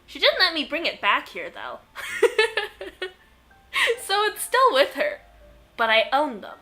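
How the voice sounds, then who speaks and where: A young adult woman chatting casually as she plays a game, rambling through animated anecdotes. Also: high voice, moderate pace, soft background music. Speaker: game streamer. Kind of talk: casual chat while playing a game.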